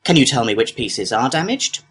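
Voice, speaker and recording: A man's voice: medium pitch, big pitch swings, moderate pace, a bit bright. A man playing a courteous complaints clerk, reading scripted customer-service dialogue in a helpful, procedural way. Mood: courteous, businesslike. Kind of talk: scripted listening-test dialogue.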